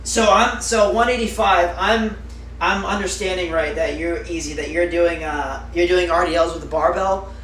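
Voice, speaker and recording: A man's voice: high voice, fairly even intonation, normal speed, a bit bright, recorded from a distance. Young man chatting off-mic in an echoey room. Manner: chatty, rambling with fillers, answering viewers. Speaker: livestreamer. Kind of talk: casual live-stream chat. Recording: roomy echo, off-mic, faint noise.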